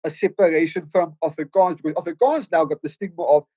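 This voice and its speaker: A man's voice: fairly high pitch, fast talker, dark tone, husky, dry recording. A middle-aged man with a Cape Town South African accent, a podcast guest talking reflectively with lots of stammered restarts. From South Africa, Cape Flats in Cape Town. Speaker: podcast guest, rapper and producer. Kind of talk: casual podcast conversation.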